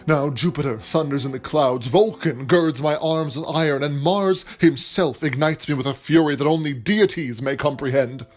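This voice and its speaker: A man's voice: a little high, moderate pace, few pauses, soft background music, natural voice. A man playing a passionate, grandiloquent playwright in a period audio drama, speaking in a florid, declamatory and earnest way. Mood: brooding, vengeful. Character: passionate, grandiloquent male playwright scheming for material. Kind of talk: theatrical period stage-play acting.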